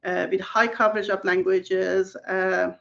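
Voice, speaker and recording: A woman's voice: mid-range voice, flat delivery, measured pace, gravelly, a bit bright, highs cut off. A woman whose first language is Persian, a research scientist answering interview questions in a thoughtful way with many fillers and restarts, heard over a video call.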